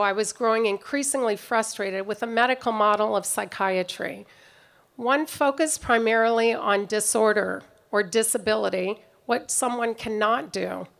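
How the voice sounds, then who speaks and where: A middle-aged woman giving a prepared commencement speech at an outdoor podium microphone, in a measured, reflective way. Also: fairly high pitch, gravelly, a bit bright. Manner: measured, reflective delivery reading from notes. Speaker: commencement speaker. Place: outdoor ceremony stage.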